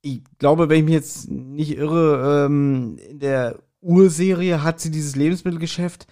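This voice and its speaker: A man's voice: a little high, steady tone, a little slow, warm tone. A man chatting casually as a podcast co-host, often searching for his words.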